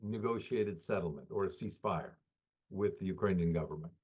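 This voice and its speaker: A man giving spontaneous political analysis as an interview guest, halting and deliberate. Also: deep voice, darkish tone, breathy edge, highs cut off.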